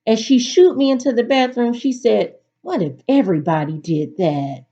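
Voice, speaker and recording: A woman teacher reading aloud to students in an expressive, instructive storytelling delivery. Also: fairly high pitch, normal speed. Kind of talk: picture-book read-aloud for a virtual class. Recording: dull, lo-fi recording.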